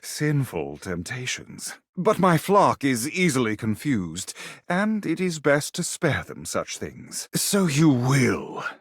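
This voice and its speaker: A man's voice: mid-range voice, huge pitch swings, takes it slowly, breathy edge, no echo, clean studio sound, full character voice. A man voicing a sanctimonious, secretive friar in a video game, speaking in a pompous, preachy, emphatic way. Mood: indignant, pompous. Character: sanctimonious, secretive male friar guarding his flock. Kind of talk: theatrical video game voice acting.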